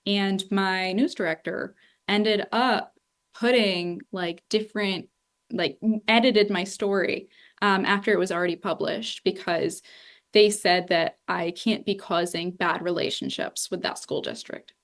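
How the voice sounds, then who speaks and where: A woman's voice: medium pitch, unhurried. A woman in her twenties from Northeast Ohio, a political journalist speaking candidly and animatedly in a lecture room, with many asides like "you know". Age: 20s. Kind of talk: public talk answering questions.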